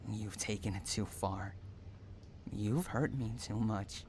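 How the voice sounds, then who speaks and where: A man performs an unhinged, possessive stalker in an intimate audio drama, speaking slowly to a captive lover. He coos endearments and threatens in the same breath, with a menacing, obsessive temper. There is some noise behind the voice. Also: lowish voice, a little mellow. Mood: angry, menacing. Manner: cooing endearments mixed with threats. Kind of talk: dramatic roleplay monologue addressed to the listener.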